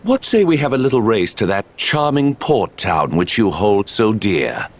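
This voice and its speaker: An actor playing a suave, calculating gambler villain in a video game, with a genteel, smugly theatrical manner. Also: male voice, on the low side, big pitch swings, moderate pace. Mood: suave, playful. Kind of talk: video game cutscene acting.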